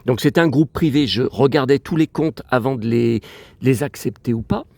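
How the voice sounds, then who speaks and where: Guy vlogging outside, stuffed up and sniffly, rambles on and on, dry close sound, middling pitch. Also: moderate pace, vocal fry, darkish tone, no room sound. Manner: rambling, aggrieved and digressive. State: stuffy nose from a cold, sniffling. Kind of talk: casual rambling vlog chat. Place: outdoors in a cemetery.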